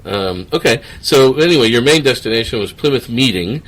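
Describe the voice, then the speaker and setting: A man's voice: mid-range voice, expressive, brisk, fairly bright tone, rough recording. A man hosting a radio interview, prompting guests with short leading questions. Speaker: radio show host.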